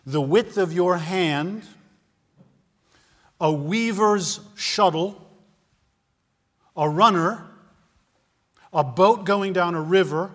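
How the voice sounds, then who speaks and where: Fifties guy preaching, kinda high voice, talks right at you with questions, long echo like a big hall. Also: fairly bright tone. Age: 50s. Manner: earnest, conversational preaching with rhetorical questions. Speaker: pastor. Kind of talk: sermon.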